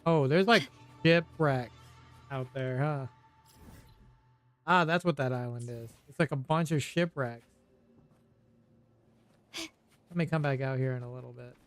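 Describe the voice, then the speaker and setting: A man's voice: mid-range voice, measured pace, pauses often. A man chatting casually as he streams a video game, with a grumbling, profane way of talking. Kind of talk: casual gameplay chat. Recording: game music behind the voice. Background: video game music.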